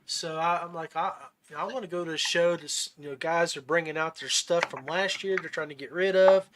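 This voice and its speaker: A man hosting a casual interview podcast, speaking haltingly and often restarting his phrases. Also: fairly high pitch, steady tone, very noisy. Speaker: podcast host.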